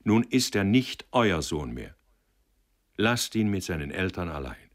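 A man playing a kindly old man who mediates with concern, in a measured, entreating, murmuring manner, in an audiobook. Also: sits low, lively intonation, vocal fry, breathy edge, exaggerated voice. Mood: quietly commanding.

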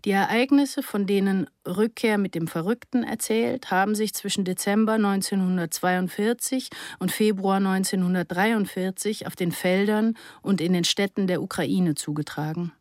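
A woman reading a reflective literary memoir aloud in a measured, articulate way. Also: medium pitch, a little mellow, dry recording, clean studio sound. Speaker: narrator reading a first-person memoir. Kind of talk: scripted literary narration, reflective essay read aloud.

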